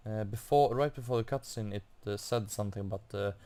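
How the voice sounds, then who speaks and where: A man giving casual commentary as he plays a video game, haltingly thinking aloud. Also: on the low side, dark tone, clear, resonant tone. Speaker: video game player making a playthrough.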